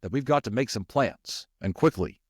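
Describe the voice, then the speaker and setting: A man's voice: medium pitch, expressive, normal speed, breathy edge, no echo, studio quality. A man voicing a blunt, worried male rat in an audiobook, with an urgent manner of talking. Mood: reasoning, grim. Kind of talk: audiobook character voice.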